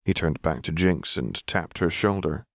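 A man with a low-pitched voice narrates fiction in an audiobook style. The reading is scripted, and the sound is dry with no room to it. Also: a little slow, no room sound.

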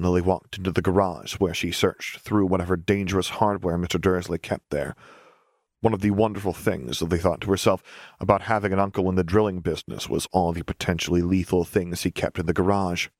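A man's voice: sits low, dry recording, studio quality. A man reading a story aloud as scripted audiobook narration.

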